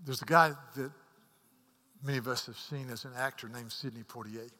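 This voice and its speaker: A man preaching a sermon as a pastor, earnest, repeating words as he rises to emphatic peaks and drops to hushed asides. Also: medium pitch, steady tone, moderate pace. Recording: long hall reverb.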